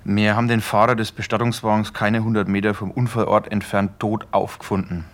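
A man's voice: lowish voice, flat delivery, fairly quick, close to an everyday voice. A man acting in a radio play as a matter-of-fact, direct police investigator. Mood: grim reporting. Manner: factual, direct.

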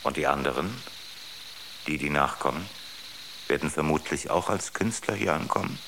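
Ship's computer for radio drama: extremely low, gravelly, coolly rational and pedantic. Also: male voice, moderate pace, noisy background. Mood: dryly superior.

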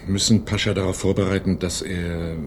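A man's voice: deep voice, level tone, moderate pace, slight background noise. A man playing a serious, careful hospital doctor in a radio drama. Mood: grave, careful. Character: grave, hesitant male hospital doctor.

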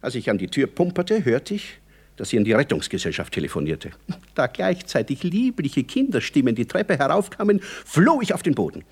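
A man's voice: mid-range voice, wildly swooping pitch, a little fast, breathy edge, heavily acted. An actor playing a hapless, agitated hungover man in a German radio play, telling his woes theatrically. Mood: agitated recounting. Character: hapless, agitated, newly engaged man with a hangover.

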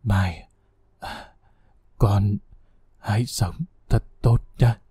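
A man voicing a dying old mother who speaks in a faint, halting whisper. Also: medium pitch, warm tone, breathy edge, dry recording, clean studio sound. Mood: weak, tender.

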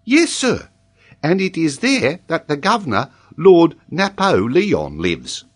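A very high, childlike voice of an earnest schoolboy. He recites storybook answers matter-of-factly, in tidy lists, with unshakable confidence. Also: big pitch swings, takes it slowly, slightly rough, exaggerated voice. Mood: calm certainty.

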